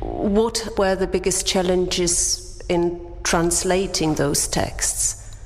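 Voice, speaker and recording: A woman interviewer asking prepared questions in a slow, deliberate way. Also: lowish voice, in a large hall, noisy background.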